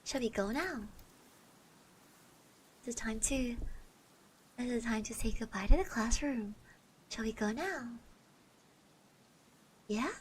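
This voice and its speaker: A woman playing a shy, sweet schoolgirl classmate in an ASMR roleplay, speaking softly and hesitantly to the listener. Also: fairly high pitch, slow, long pauses, slight background noise, low-quality recording. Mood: embarrassed, soft. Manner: hesitant, stammering, often dropping to soft murmurs and whispers.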